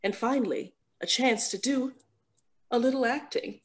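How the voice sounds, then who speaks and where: A woman's voice: fairly high pitch, normal speed, lightly acted. A woman playing a vain, self-aware actress who narrates her own scene in an arch, wry, theatrical parody style. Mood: wry, showy. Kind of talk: parody stage acting.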